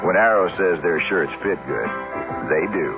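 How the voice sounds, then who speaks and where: A man's voice: low voice, moderate pace, music in the background. A man reading a conversational, scripted radio commercial in a folksy, emphatic way. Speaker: advertising announcer. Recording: dull old radio recording.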